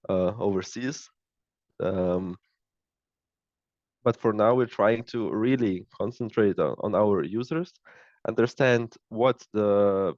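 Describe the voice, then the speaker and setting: Young lithuanian guy being interviewed, talks slow and hesitant, dry muffled lo-fi mic. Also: lowish voice, a little mellow, no echo. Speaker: startup co-founder, podcast guest. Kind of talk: casual interview answers.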